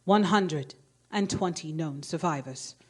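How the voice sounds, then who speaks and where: An actress playing a grave, formal news announcer in a radio drama, reading bulletins in a measured way. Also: female voice, deep voice, slightly rough. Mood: grave, solemn. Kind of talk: stage radio drama acting as newscaster.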